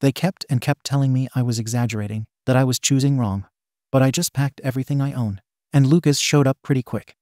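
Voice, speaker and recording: A man narrating online posts aloud in an even, steady reading voice. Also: medium pitch, fairly quick, no room sound, studio quality. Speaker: story narrator.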